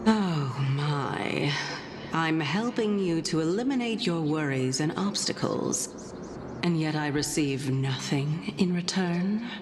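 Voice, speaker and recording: Low, unhurried, scheming noblewoman. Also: animated, background noise. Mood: teasing, calculating. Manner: measured, formal, teasing, breaks into hushed phrase endings. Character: elegant, scheming noblewoman, coy and self-assured. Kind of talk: game character voice acting. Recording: game stream with music underneath.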